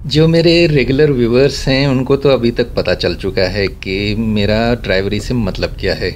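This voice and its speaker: A man's voice: medium pitch, steady tone, normal speed. A man giving a casual, wry running commentary as he drives a guest around a city, speaking from inside a car. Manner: chatty, wry remarks about traffic manners. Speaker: vlogger giving a city driving tour. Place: inside a car driving through a city in rain.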